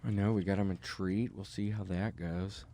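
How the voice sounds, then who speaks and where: A man's voice: low-pitched, fairly even intonation, moderate pace, mellow. A man hosting a comedy podcast, chatting in a laid-back, mumbling, understated way. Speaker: podcast host. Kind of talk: dry comedy podcast banter.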